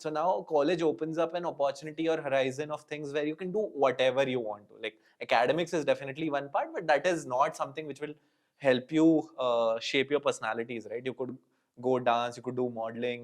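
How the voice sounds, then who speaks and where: A man's voice: mid-range voice, a little fast. Indian man hosting a podcast. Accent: Indian English. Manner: affirming listener, frequent "correct" and "mm-hmm". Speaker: podcast host. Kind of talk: casual interview.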